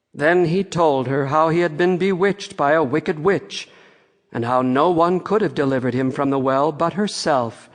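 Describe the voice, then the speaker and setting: A man narrating a fairy-tale audiobook in a measured storytelling way, with long reverb on the recording. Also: fairly high pitch.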